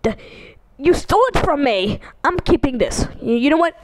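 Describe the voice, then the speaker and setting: A woman's voice: high voice, animated, normal speed, a little hiss or hum, low-quality recording. A woman voicing a grumpy, indignant villager cook in a fan cartoon dub, stammering and scolding with British slang. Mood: outraged. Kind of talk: casual fan cartoon dubbing.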